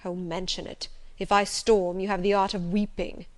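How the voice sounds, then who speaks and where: A stormy middle-aged gentleman pours out his words loudly and urgently, as a woman reads him aloud. He sounds impassioned and forceful in an audiobook telling. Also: mid-range voice, level tone, normal speed, natural voice. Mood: pleading, insistent. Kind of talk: audiobook reading.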